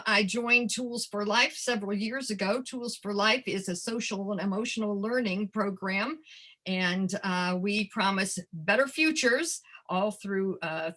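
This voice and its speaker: A woman's voice: medium pitch, sharp tone. An older woman, sixties or above, an educator giving a webinar presentation, addressing her listeners directly and stressing key phrases. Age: 60s or older. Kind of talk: webinar presentation to childcare providers.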